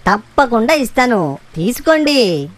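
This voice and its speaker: Woman voicing a clever high-voiced monkey, unhurried. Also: very animated. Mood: cheerful. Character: clever, friendly, quick-witted monkey. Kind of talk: children's animated story dialogue in Telugu.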